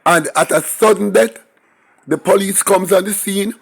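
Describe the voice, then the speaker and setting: A man hosting his own channel, hoarse and raspy from the flu, going over a crime story in a halting, self-correcting way. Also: sits high. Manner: slow, halting delivery with restarts and fillers. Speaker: channel host. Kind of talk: crime news commentary.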